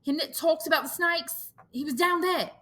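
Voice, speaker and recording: A woman's voice: mid-range voice, animated, moderate pace, sharp tone, pitched above the performer's own voice. A woman voicing a fretful, indignant schoolboy who talks in pleading, breathless outbursts. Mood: frightened.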